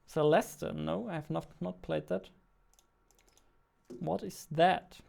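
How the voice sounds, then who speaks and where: A man in his twenties, a game developer chatting playfully with his viewers while playing, on a studio mic in a small dark room. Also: fairly high pitch, slow pace, creaky. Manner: playful, excitable, talks back to the chat. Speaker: game developer streaming his daily devlog. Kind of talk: casual live-stream chat while playing. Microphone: studio mic on a boom arm, wearing headphones.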